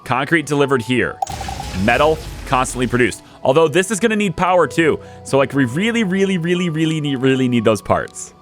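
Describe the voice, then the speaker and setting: A young man hosting a let's play, chatting casually as he plays and talking to his units, a little hoarse from losing his voice. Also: a little high, lively intonation, fairly quick, soft background music. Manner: chatty, thinks aloud, talks to his units. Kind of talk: casual gameplay commentary.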